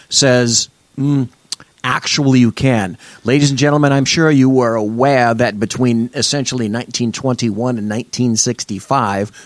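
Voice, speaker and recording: Man, talk radio co-host, blustery, measured reading, dry studio sound. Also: medium pitch, no echo. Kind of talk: loud banter and reading out legal text.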